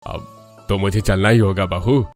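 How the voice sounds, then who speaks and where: Deep-voiced bossy older father-in-law, man acting. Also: steady tone, measured pace, a little mellow, soft background music, close to an everyday voice. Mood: resigned. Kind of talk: cartoon voice acting.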